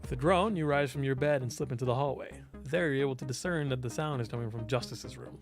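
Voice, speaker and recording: A man's voice: medium pitch, fast, soft background music. A man reading visual novel narration aloud expressively, as a let's player and voice actor would.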